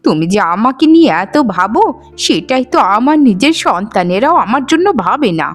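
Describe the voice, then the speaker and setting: A woman's voice: fairly high pitch, very animated, brisk. Woman acting plaintive elderly mother-in-law, cartoon melodrama. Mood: weary, tender. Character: frail elderly mother-in-law, trusting, aggrieved, lamenting.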